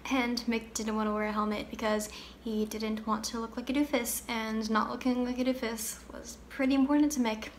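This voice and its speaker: Grieving, candid young girl, acted by a woman, higher-pitched, wistful conversational stage monologue, slightly noisy amateur recording. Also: little pitch movement, close to an everyday voice. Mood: matter-of-fact, wistful. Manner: conversational, wistful storytelling with pauses. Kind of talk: dramatic monologue.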